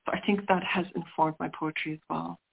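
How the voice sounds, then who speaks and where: A woman's voice: on the low side, steady tone, a little fast, gravelly, slightly rough. Reflective middle-aged woman on a phone line. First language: Sicilian. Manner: reflective, searching for words with restarts. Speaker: poet guest. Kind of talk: interview conversation.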